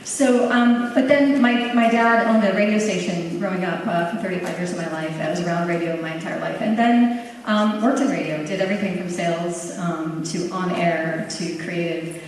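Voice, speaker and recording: A woman's voice: on the low side, moderate pace. A woman in her fifties on a conference panel, telling a chatty story full of "um"s. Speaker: panelist, company founder. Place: large conference hall. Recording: echoey hall from far off, faint noise.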